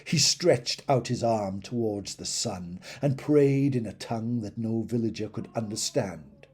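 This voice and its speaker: A man reading a classic tale as a narrator, slow and dramatic, letting his phrases fall away at their ends. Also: lowish voice, a little mellow, husky. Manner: slow, dramatic storytelling with falling phrase endings.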